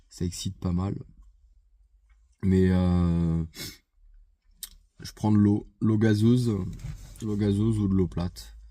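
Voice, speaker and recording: Low, slow-talking man with a head cold. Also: warm tone, no room sound. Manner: hesitant, many fillers and restarts. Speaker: vlogger. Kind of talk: casual vlog chat. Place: outside a gym.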